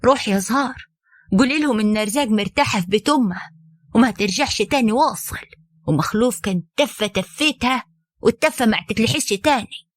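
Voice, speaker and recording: Bitter defiant young divorcee, played by a woman, fairly high, cutting, dry. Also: fast talker, no echo, natural voice.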